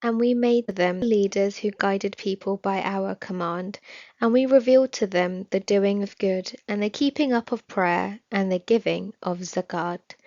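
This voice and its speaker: A woman reading a scripted religious study text aloud in a measured, formal manner. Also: medium pitch, darkish tone, no room sound. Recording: slightly dull, dry recording.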